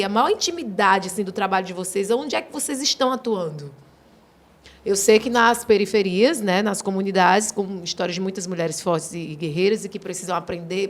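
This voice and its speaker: A woman hosting an interview in a lively, colloquial manner. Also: medium pitch. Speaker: host.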